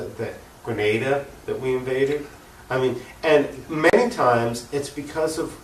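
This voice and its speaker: An American man speaking earnestly and emphatically as an activist guest in an unscripted political interview. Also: mid-range voice. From United States. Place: echoey room, cable TV interview. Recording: echoey room, distant mic, faint noise.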